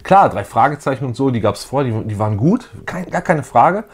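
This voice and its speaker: Casual man with a lively, swinging voice. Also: medium pitch, a little fast. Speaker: co-host of retro audio play review show. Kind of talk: casual unscripted chat. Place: small indoor room full of posters.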